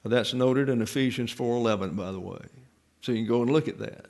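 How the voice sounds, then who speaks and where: A man's voice: medium pitch, fairly quick, vocal fry, darkish tone. A man preaching a Bible teaching sermon from the pulpit, explaining patiently, leaning hard on key points, with frequent fillers and restarts. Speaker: pastor-teacher.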